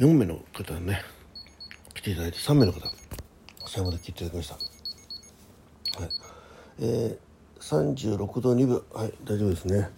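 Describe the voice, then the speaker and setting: A man, probably middle-aged, chatting casually by himself as a radio host, halting and thinking aloud in short polite phrases. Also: lowish voice, creaky, dark tone, slightly rough. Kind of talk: casual solo chat about daily life.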